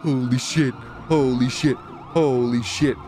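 A man chatting casually as he plays a roleplay game, excitable, swearing freely and repeating his phrases. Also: mid-range voice, slow pace, a little hiss or hum. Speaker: video host and roleplay gamer. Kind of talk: casual chat while playing a crime roleplay game.

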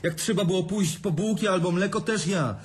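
An actor playing a resentful younger brother who lists old grievances in a reproachful tone in a radio play. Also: male voice, high-pitched, a little fast, slightly rough. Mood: bitter. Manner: reproachful, bitter. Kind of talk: radio drama acting.

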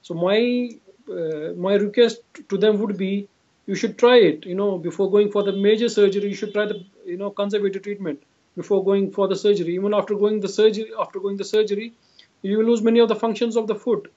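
A man with an Indian accent speaks in a notably high voice, giving explanatory answers as an interviewed clinician. He tends to repeat his phrases. The sound is slightly muffled and lo-fi, as over a video call. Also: fairly even intonation, a little fast, dark tone. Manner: repetitive, often says "you know". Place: indoor room, video call. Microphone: video call device at arm's length. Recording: dull, lo-fi video call audio.

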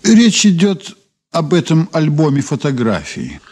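Casting: probing Soviet investigator, husky, slightly high male voice, animated intonation, formal, radio play. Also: exaggerated voice. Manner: measured, formal, businesslike. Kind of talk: Soviet radio drama acting, part narration.

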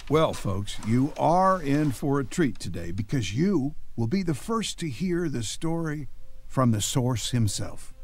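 A man's voice: medium pitch, big pitch swings, measured pace. An actor playing an earnest, folksy old-time radio newsman, narrating from a script. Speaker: announcer-narrator.

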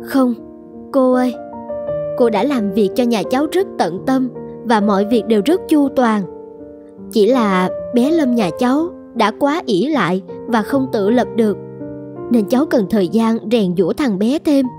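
A woman playing a considerate but firm young mother in a cartoon, speaking gently and politely as she explains. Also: on the high side, expressive, unhurried, over music. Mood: apologetic. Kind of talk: cartoon voice acting.

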